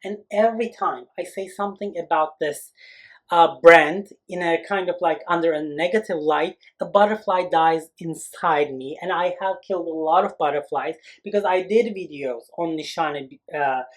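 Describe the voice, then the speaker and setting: A man's voice: fairly high pitch, normal speed, rough recording. Chatty man reviewing fragrances. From Turkey. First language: Turkish. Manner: chatty and expressive, many "you know" and "like" fillers. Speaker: fragrance reviewer, channel host. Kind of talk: casual review talk to camera.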